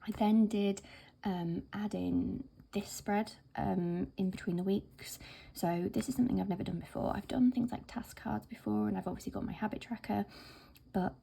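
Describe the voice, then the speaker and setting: A woman with a hoarse, croaky voice from being ill, chatting casually to camera about her planner with plenty of fillers. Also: mid-range voice, normal speed. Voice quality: croaky, whispery. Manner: chatty, relaxed, many fillers. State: hoarse from illness. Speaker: planner vlogger.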